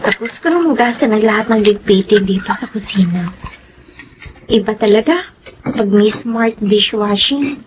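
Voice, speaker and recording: An actress playing a kind, humble young student in a Filipino radio drama. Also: female voice, mid-range voice, normal speed, background noise, natural voice. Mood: cheerful, modest. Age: young adult.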